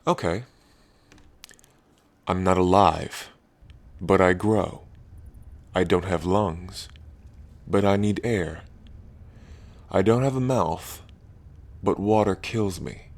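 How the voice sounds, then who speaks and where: A man playing a lonely ancient dragon king in roleplay ASMR, proud yet bashful, with halting, deliberate phrasing. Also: deep voice, a little mellow. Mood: playful, challenging. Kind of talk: roleplay ASMR audio drama.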